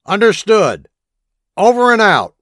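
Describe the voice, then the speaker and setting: A man's voice: sits high, sing-song, a little slow, a bit bright, dry recording, clean studio sound, heavily acted. A man playing a big, menacing, impatient thug of a kidnapper in an audio drama, barking aggressively. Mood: gruff, businesslike.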